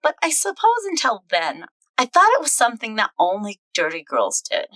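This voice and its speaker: A woman's voice: on the high side, wildly swooping pitch, normal speed, bright, forceful tone, dry recording, heavily acted. A woman playing a wry, candid young comic-book heroine, narrating in a confiding, self-deprecating way. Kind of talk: dramatic reading of comic excerpts.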